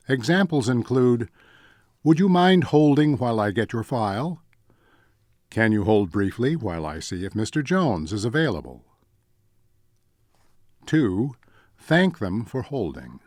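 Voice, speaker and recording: A man reading scripted instructional narration in a measured, deliberate way, like a training presenter. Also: on the low side, darkish tone, no echo, clean studio sound. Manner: measured, deliberate, enumerating points.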